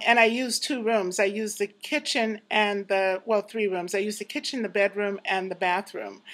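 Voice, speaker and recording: A woman's voice: fairly high pitch, a bit bright. A woman in her fifties talking casually and reflectively to her viewers from her apartment, close to a handheld camera. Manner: chatty, reflective, laughs often. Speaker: vlogger. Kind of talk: casual personal vlog. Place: apartment room indoors.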